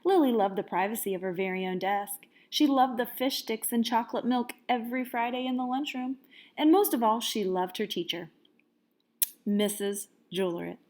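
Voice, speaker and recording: A woman reading a children's storybook aloud as a teacher would, with expressive, dramatic emphasis. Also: on the high side, moderate pace.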